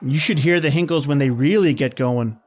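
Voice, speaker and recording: Man acting a wisecracking, grumbling fiancé, higher-pitched. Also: normal speed, darkish tone, lightly acted. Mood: wry. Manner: slangy, exasperated, wry. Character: grumbling wisecracking young fiancé dreading his boss-lady's party. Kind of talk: 1930s radio drama acting.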